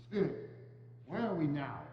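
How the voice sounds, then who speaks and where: Old miser male actor, fearful and halting, dramatic stage reading; muffled, noisy hall recording. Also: a little high, sing-song. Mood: uneasy, wondering. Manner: hesitant, stammering, pleading. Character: frightened, pleading old miser man, anxious and humbled. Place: large church hall, indoors. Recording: muffled echoey church hall, humming noise.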